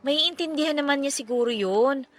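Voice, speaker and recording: A woman's voice: high voice, moderate pace, lightly acted. A woman acting as a chatty, caring young bride-to-be in a radio drama, talking casually and expressively with some English mixed in. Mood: sympathetic. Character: chatty, caring young bride-to-be, sister of her friend's matchmaker.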